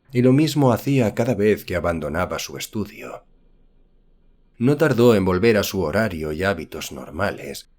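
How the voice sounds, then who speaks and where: A man reading a horror novel as an audiobook narrator, in a measured, formal storytelling manner. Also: sits low.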